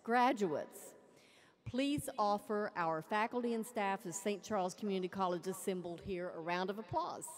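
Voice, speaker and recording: A woman's voice: medium pitch, moderate pace. A woman presiding as college president, giving a formal, ceremonial commencement address from a lectern microphone. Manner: ceremonial, proclaiming. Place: large arena at a lectern. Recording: long arena reverb.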